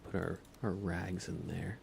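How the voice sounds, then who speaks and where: A man softly thinking aloud in an unhurried, whispered ASMR style as he narrates a video game livestream. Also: sits low, steady tone, gravelly, background noise. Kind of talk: ASMR gaming commentary, whispered and soft-spoken.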